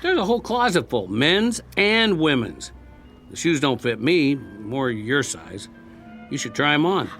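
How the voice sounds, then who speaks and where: Weary, sardonic American male war survivor for radio drama; lively pitch, coaxing, plain speech over music. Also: a little slow. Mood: chatty, eager.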